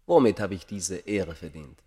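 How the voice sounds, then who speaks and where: An actor playing a scholar's sceptical friend, formal and probing, in a German radio play. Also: male voice, low voice, expressive, normal speed, mellow, husky. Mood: wry, curious.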